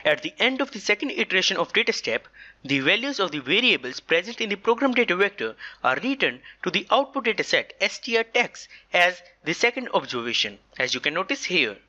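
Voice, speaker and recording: An instructor reads a scripted tutorial in a medium-pitched, bright voice. The delivery is methodical and explanatory, with lively rises and falls. The recording is a slightly dull, lo-fi voice-over. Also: brisk. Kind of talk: scripted software tutorial narration.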